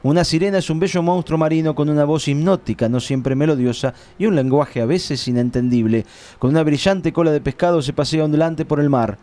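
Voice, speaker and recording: A man's voice: a little high, dark tone. A man with an Argentine accent co-hosting a radio show, reading out event listings with informal, joking asides. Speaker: radio co-host.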